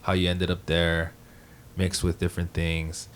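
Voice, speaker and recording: A young man from Hawaii, a podcast co-host, talking casually, joking and swearing, then speaking softly and thoughtfully about his family. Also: low voice, monotone, measured pace, clean tone. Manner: joking, profane, then reflective and soft-spoken. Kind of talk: casual group chat, storytelling.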